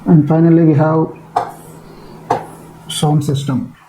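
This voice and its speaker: A man teaching in an explanatory lecture style, with a halting, repetitive delivery. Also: fairly high pitch, level tone, noisy background.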